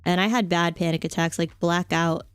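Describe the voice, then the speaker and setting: A woman's voice: on the low side, fairly even intonation, moderate pace, smooth, faint music behind. A young adult woman streamer chatting casually, rambling with lots of fillers and offhand jokes. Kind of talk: casual chat stream, reading chat.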